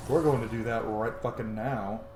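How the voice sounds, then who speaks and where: A man giving casual, excitable game playthrough commentary, reacting aloud and swearing freely. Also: medium pitch, moderate pace, echoey room, music in the background. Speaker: gaming streamer.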